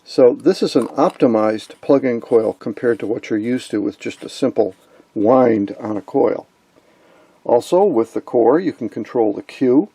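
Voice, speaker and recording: A man giving an informal technical demonstration of vintage radio gear, explaining and pausing to point things out. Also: medium pitch, warm tone, breathy edge, no echo. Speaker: host, electronics hobbyist.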